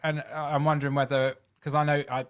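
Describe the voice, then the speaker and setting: A man's voice: fairly high pitch, flat delivery, quick pace, smooth. A man with a Southern English accent hosting a casual chat show, chatty and giggly, asking long, rambling questions. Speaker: podcast host.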